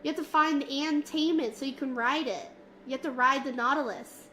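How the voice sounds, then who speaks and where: Woman streamer, high and nasal, sniffly with a cold, slow playful chatter over faint hiss. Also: bright, forceful tone. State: stuffy from a cold. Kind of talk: casual livestream chat with viewers. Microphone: desk mic, moving closer and farther.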